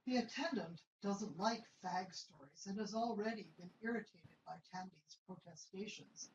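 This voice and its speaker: A woman's voice: mid-range voice, normal speed, a little hiss or hum. A woman reads the stage directions for a radio play in a matter-of-fact voice. The sound is roomy and slightly muffled. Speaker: narrator reading stage directions. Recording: dull roomy recording.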